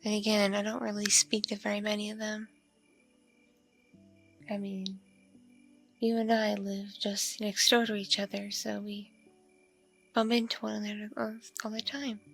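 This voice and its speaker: A woman playing a lonely, flirtatious vampire neighbor in an intimate ASMR roleplay, speaking in a breathy, creaky murmur with hesitant, drawn-out pauses. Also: medium pitch. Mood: shy, friendly. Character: lonely, flirtatious, awkwardly polite pale vampire woman next door. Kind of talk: ASMR roleplay, intimate one-sided dialogue.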